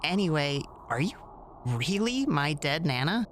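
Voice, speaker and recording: A naive young boy hero voiced by a woman talks in a low but lively register. He is polite, hesitant and casual, like an earnest cartoon kid, with faint noise on the recording. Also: a little slow. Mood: puzzled, hesitant. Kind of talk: fandub character acting.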